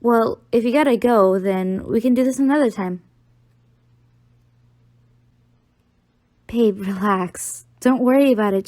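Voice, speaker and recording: A woman's voice: fairly high pitch, darkish tone, no room sound, studio quality, close to an everyday voice. A young woman playing an affectionate, teasing college-age girlfriend in an audio roleplay, talking playfully to the listener. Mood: caring.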